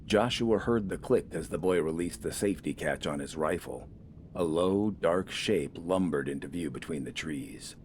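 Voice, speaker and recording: A man reading a scripted dramatic story in a measured, deliberate storytelling manner. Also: low-pitched, a little mellow, a little hiss or hum. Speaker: audiobook narrator.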